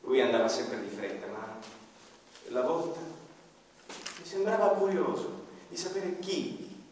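Man narrating slowly in an echoey hall. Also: fairly high pitch, very animated, a little hiss or hum. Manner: slow, reflective, hushed at times. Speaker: narrator of a radio drama. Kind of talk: first-person literary narration. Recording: distant, echoey hall with long reverb. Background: violin music.

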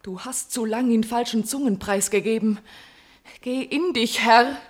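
An actress playing a noble, courageous young princess in love, declaiming impassioned verse in a classical German radio tragedy. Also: female voice, a little high, unhurried. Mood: bold reproach.

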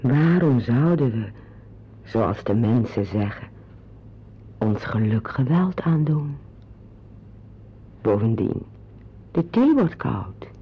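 A man playing a tender, solemn lover in an intimate radio drama, with a husky, breathy voice. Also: mid-range voice, very animated, slow pace, long pauses, full character voice. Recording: dull lo-fi radio play recording.

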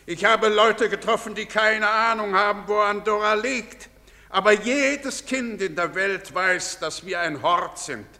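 A pompous lecturing professor. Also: male voice, sits high, fairly even intonation, unhurried, vocal fry, bright, forceful tone, heavily acted. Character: boastful well-travelled professor, pompous. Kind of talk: radio play acting. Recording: long reverberant studio echo.

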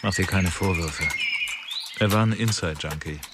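An actor playing a practical, comradely investigator who calmly consoles a colleague in a crime radio play. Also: male voice, low voice, moderate pace, heavy background noise. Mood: consoling, practical. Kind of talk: naturalistic crime radio drama dialogue.

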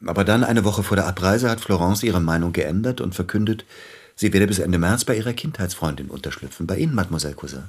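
Low-voiced, courteous, brooding male pianist. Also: expressive, fast talker, husky. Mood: polite, measured. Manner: formal, polite, hesitant. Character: celebrated pianist, a courteous, brooding bereaved husband. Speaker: radio drama actor. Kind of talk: German radio play acting.

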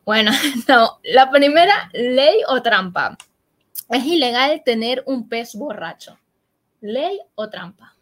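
A woman hosts a comedy radio chat, her voice a touch higher than most. She talks casually and keeps throwing quick questions at her guest. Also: animated, a bit bright. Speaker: comedian host. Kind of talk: casual radio show hosting.

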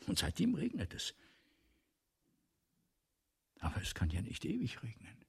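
An actor playing an excitable, domineering, grandiose playwright in a German radio play, breathy and theatrical, often lapsing into whispers. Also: male voice, medium pitch, measured pace, heavily acted. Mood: insistent. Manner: theatrical, pompous, insistent.

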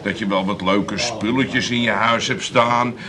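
An actor playing a slick, persuasive, shady Amsterdam schemer in a comic radio play, glib and insistent. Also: male voice, on the low side, steady tone, unhurried, a crowd in the background, close to an everyday voice. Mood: coaxing. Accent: Amsterdam Dutch.

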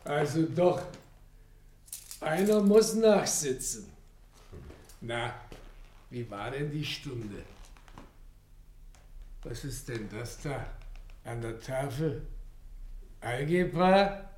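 Slow, echoey, chatty school caretaker. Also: male voice, mid-range voice, animated, darkish tone, a little hiss or hum. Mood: curious, amused. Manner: folksy, musing, chuckling. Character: chatty, deferential male school caretaker, nosy and good-humoured. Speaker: actor. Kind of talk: theatrical radio play dialogue. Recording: roomy, reverberant sound.

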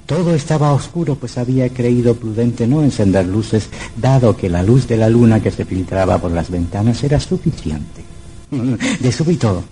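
A man's voice: medium pitch, expressive, moderate pace, mellow, a little hiss or hum, low-quality recording. A man narrating a scripted old radio horror drama in a dramatic, suspenseful manner.

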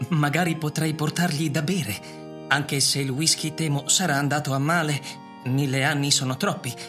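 An actor voicing an ancient, loyal robot butler in a radio drama, formal, deferential and wistful, speaking in a measured way. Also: medium pitch, steady tone, fairly bright tone, soft background music, close to an everyday voice. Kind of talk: Italian radio theatre acting.

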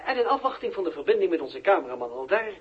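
High-voiced, animated launch reporter, male. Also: quick pace, lo-fi sound. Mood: expectant. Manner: formal, explanatory announcing. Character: male television reporter at a futuristic rocket launch. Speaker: broadcast commentator. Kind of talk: 1960s radio drama reportage. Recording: old radio recording, faint noise.

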